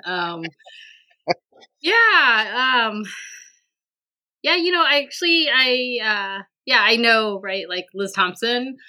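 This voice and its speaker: A woman guest on a conversational podcast, speaking slowly. Her voice is bright, and she hesitates often, circling back with fillers and restarts. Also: a little high, animated. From Vietnam. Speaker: podcast guest, university administrator. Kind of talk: casual conversational interview.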